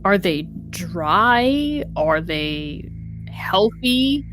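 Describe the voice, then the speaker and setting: A woman's voice: mid-range voice, sing-song, drawn-out words, music in the background. A woman chatting casually as a player in a tabletop game.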